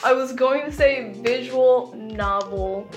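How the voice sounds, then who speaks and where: A woman's voice: fairly high pitch, slow, music in the background. A young adult woman chatting casually as a podcast guest, playful and giggly, swearing freely and chiming in agreement. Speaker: podcast guest, friend of the host. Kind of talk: casual chat.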